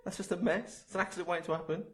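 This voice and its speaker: A man's voice: high voice, fairly even intonation, racing. A man hosting a podcast, chatting casually in a laid-back, joking way. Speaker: podcast host.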